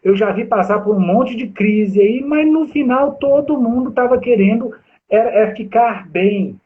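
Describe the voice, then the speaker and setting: A man's voice: very high-pitched, a little slow, a little hiss or hum. A man in his forties from Brazil, a guest instructor talking animatedly and straight to viewers on a video call. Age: 40s. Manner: animated, addresses viewers directly. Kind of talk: live chat with audience. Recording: tinny phone-like line.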